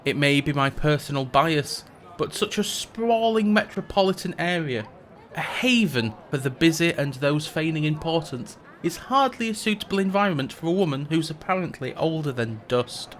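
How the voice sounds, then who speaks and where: A man's voice: fairly high pitch, expressive, normal speed, fairly bright tone, a little hiss or hum. A man playing a reluctant, sardonic male mage who hosts a tourism show, delivering scripted comic narration with dry, sarcastic asides. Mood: wry. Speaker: podcast host.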